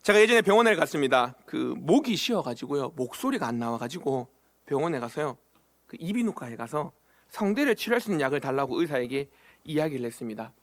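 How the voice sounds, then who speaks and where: Man, pastor, high voice, sermon in a church, questions to the congregation. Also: sing-song, quick pace. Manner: asks the congregation questions, acts out short quoted lines. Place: church sanctuary.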